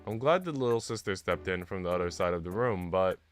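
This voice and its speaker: A man's voice: deep voice, expressive, a little fast, faint music behind. A man reading a visual novel aloud and chatting casually about games as a streamer. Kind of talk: casual read-aloud and game chat.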